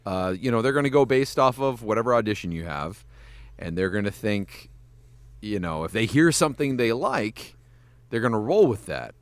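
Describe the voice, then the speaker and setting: A man talking through casual podcast advice with a lively, swooping pitch, swearing freely as he goes. He works in voice acting and animation and keeps breaking into quick voice demos. The sound is dry and close, with almost no room around him. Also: no echo. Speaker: guest voice actor and animator.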